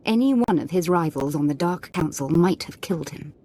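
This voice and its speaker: An actress playing a menacing, playfully sadistic Sith lord in a video game, sweetly mocking and imperious. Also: female voice, sits low, lively intonation, brisk, mellow. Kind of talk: scripted game dialogue.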